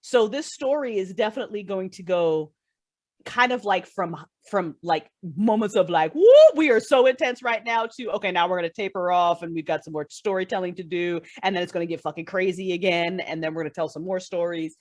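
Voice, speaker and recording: A woman speaks as a guest in a relaxed, candid interview. Her voice is a little lower than most women's, and she rambles through her thoughts with emphatic stresses and informal, unfiltered phrasing. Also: fairly quick. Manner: rambling, emphatic, freely swearing. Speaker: guest, audio drama writer and creator. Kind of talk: casual, candid interview chat.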